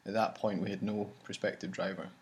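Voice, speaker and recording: A man's voice: fairly low pitch, little pitch movement, fairly quick, slightly rough. A man hosting a casual chat about his campaign travels, talking in a rambling way with many restarts. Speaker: campaign leader and vlog host.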